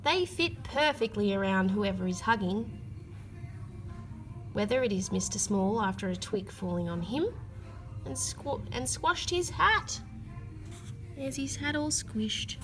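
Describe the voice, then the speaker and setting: A woman reading a children's picture book aloud, with expressive emphasis and drawn-out key words. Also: mid-range voice, music in the background, poor audio. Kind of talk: read-aloud storytelling for children.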